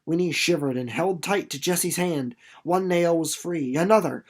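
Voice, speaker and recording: Audiobook narrator, mid-pitched voice, dramatic literary storytelling with suspenseful slowing and softening. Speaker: audiobook narrator reading a novel chapter.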